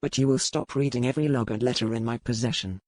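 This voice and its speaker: A woman's voice: rumbling low, fast, darkish tone, dry recording, pitched below the performer's own voice. A woman voicing a curt, proud, menacing pirate lord with formal, archaic phrasing in an audiobook. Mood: stern. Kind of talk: audiobook character dialogue.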